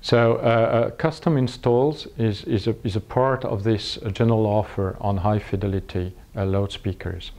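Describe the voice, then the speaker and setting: Mellow, halting Frenchman in his fifties. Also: lowish voice, steady tone. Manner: halting, searching for words. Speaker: company executive presenting products. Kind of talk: product interview, explaining. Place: small indoor showroom room with curtains.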